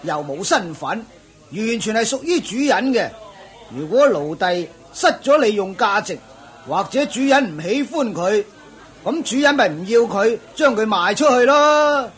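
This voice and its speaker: A man's voice: high-pitched, lively intonation, measured pace. A man voicing a middle-aged auctioneer who chuckles and lectures in a knowing, chatty way in a radio play. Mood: amused.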